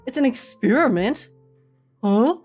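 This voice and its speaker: A woman giving casual reaction commentary as she plays a video game, chatty and thinking out loud. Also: fairly high pitch, lively intonation, unhurried, slightly rough. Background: game music.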